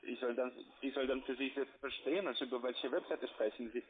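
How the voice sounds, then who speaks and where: Call center guy, foreign accent, not native, halting and repeats himself, thin phone audio. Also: mid-range voice, fairly even intonation. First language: not German. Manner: halting, repetitive. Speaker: call centre agent. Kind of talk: sales phone call, evasive replies. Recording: tinny narrow phone line.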